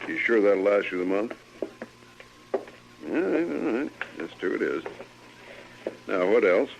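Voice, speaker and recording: An actor playing a patient, obliging American general store keeper with a folksy, coaxing manner in a radio western. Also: male voice, fairly low pitch, big pitch swings, a little slow, gravelly, heavily acted. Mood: patient, helpful. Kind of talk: old-time radio western acting. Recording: dull, faintly noisy vintage radio.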